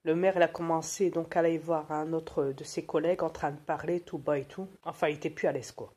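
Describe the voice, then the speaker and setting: A woman with a low, husky voice tells a story in a loose, conversational way. She is animated and colloquial, with many fillers and restarts. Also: brisk. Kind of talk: casual spoken storytelling.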